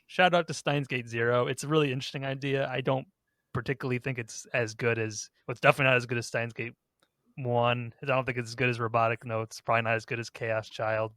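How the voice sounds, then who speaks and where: A man's voice: mid-range voice, steady tone, fast, fairly bright tone, dry recording, clean studio sound. A man hosting a casual podcast, chatting conversationally about games. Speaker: podcast host.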